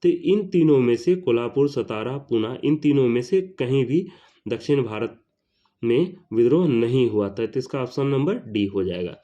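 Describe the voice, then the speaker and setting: Guy going over exam questions one by one, repeats everything twice, mellow voice, kinda muffled recording. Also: mid-range voice, animated, normal speed. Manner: repeats each question and answer, announces numbers louder. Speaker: teacher.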